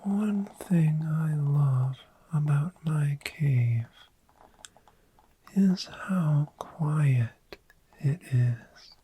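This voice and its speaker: Very slow whispering friendly dragon performed softly. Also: medium pitch, slight background noise, poor audio. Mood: sleepy, tender. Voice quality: breathy, mostly whispered. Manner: slow, soothing, lingering repetition. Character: friendly, gentle dragon host of a sleepy hoard. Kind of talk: soft-spoken ASMR roleplay.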